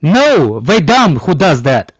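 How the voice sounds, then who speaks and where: A man whose first language is Russian, talking bluntly in halting English during a casual group chat, teasing the others. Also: a little high, sing-song, lo-fi sound. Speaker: panelist.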